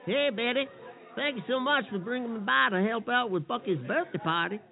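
A man's voice: very high voice, a little fast, vocal fry. A man playing a good-natured, easily amazed boss in a comic skit, stammering and incredulous, on a tinny recording. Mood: friendly, curious. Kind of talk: comic audio skit dialogue. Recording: tinny, phone-like band.

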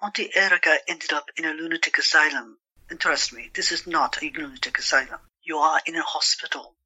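Sharp, husky protective sister, played by an actress. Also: female voice, deep voice, brisk, no room sound, full character voice, rough recording. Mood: firm concern. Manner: pleading, reasoning. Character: protective, worried, skeptical sister. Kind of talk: audio drama acting.

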